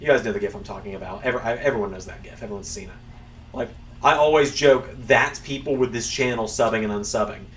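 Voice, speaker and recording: A man's voice: medium pitch, fast, a bit bright, far from the mic, slight background noise. A young man streaming a wrestling game, chatting casually to viewers in a rambling, self-mocking way that includes swearing. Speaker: gaming streamer. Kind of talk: casual live-stream chat while playing.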